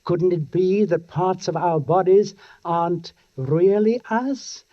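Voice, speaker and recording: An actor playing a tormented, menacing condemned strangler in theatrical, brooding 1940s radio thriller style. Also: male voice, high voice, slow pace, dark tone. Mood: musing menace. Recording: dull 1940s radio broadcast.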